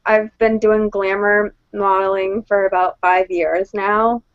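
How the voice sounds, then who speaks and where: A woman's voice: mid-range voice, little pitch movement, takes it slowly, clear, resonant tone, dry recording. Young woman guest, 20s, shy, dry dull recording. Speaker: guest, show host. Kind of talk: casual podcast chat.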